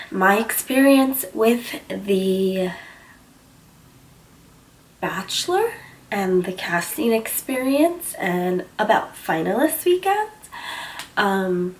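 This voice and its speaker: A young woman with a cold, sounding stuffy and slightly husky, chatting casually on a vlog with lots of fillers. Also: mid-range voice. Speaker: vlogger. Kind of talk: casual storytelling chat. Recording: voice a bit far from the mic, faint background hiss.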